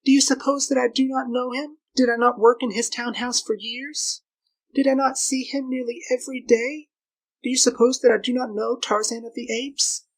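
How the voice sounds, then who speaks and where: A male narrator plays a young woman in an adventure storybook. The voice is lifted high and husky. She is frightened yet scheming, pleading her case with urgency. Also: steady tone, normal speed, heavily acted, rough recording. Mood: fearful, insistent.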